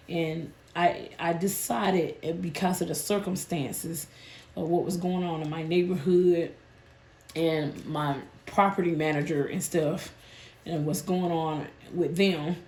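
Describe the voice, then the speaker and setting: A woman, hoarse and a bit nasal from a sinus illness, chatting casually with her viewers about her budget. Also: fairly low pitch, darkish tone, roomy sound. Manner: chatty, addresses viewers as "y'all". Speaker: budgeting channel host.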